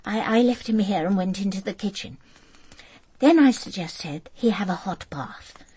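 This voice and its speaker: Radio drama: earnest, polite female witness, very husky voice, lively intonation, slightly hesitant delivery. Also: mid-range voice, moderate pace. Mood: careful, recollecting. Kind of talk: naturalistic radio drama acting.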